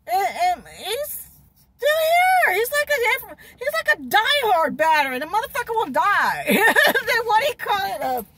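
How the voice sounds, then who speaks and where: A woman's voice: very high-pitched, sing-song, measured pace, very noisy. A middle-aged woman telling a rambling, profane story in a parked car, laughing and repeating herself, recorded on a phone at arm's length. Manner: swears heavily, laughs often, repeats herself, gestures a lot. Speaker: vlogger. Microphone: phone camera at arm's length.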